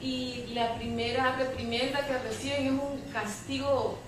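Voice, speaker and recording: A Salvadoran woman giving spontaneous commentary as a panelist at a public debate, speaking hesitantly with pauses between phrases. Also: high-pitched, level tone. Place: public debate room, picked up from afar. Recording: distant, very echoey room with clear noise.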